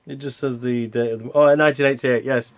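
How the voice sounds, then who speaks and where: A man's voice: mid-range voice, fairly even intonation, fairly quick. A man hosting a retro gaming vlog in a casual, unscripted way, rambling and hesitant as he interrupts himself. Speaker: host of a retro gaming video channel.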